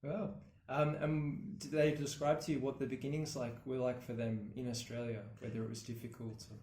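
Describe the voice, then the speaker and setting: A man asking interview questions in a brisk, casual way with fillers. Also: mid-range voice, flat delivery, darkish tone, reverberant, poor audio.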